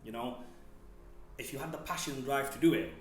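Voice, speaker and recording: A man in his thirties, a gym owner chatting relaxed as an interview guest, often restarting and repeating words. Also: mid-range voice, a little fast, slight background noise. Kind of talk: casual interview chat. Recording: very echoey room, faint music.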